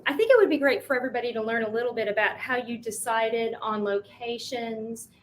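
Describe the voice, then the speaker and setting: Woman moderator, bright higher voice, upbeat, light ums, faint hiss. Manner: upbeat, fills pauses with um. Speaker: moderator, admissions dean. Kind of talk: panel moderation.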